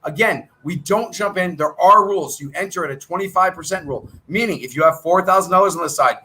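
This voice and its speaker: A middle-aged man coaching traders on a live chat, talking in brisk salesy patter while thinking aloud, and he is losing his voice. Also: high voice, sharp tone, poor audio. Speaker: trading coach.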